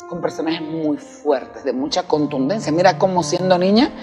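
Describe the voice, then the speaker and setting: A woman's voice: sits low, moderate pace, big-room sound, music in the background. Woman interviewing on TV. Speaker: TV talk show host. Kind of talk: TV interview, asking questions. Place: TV studio.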